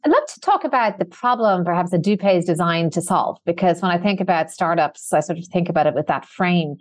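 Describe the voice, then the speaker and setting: A woman's voice: on the low side, expressive, brisk, no echo. Polished podcast host, woman, dry close recording. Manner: polished and composed. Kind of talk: scripted podcast introduction and interview questions.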